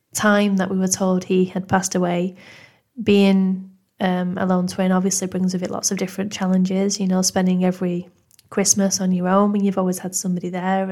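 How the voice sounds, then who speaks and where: A woman in her thirties from Manchester with a Manchester accent, hosting a podcast in a gentle, reflective, unhurried way. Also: medium pitch. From England. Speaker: podcast host. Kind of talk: personal podcast monologue.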